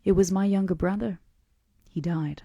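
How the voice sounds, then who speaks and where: A woman reading the part of a calm, melancholy male vampire who tells of his past in a gentle, measured, confidential way. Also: fairly low pitch, breathy edge, no room sound. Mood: somber. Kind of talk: audiobook-style character reading.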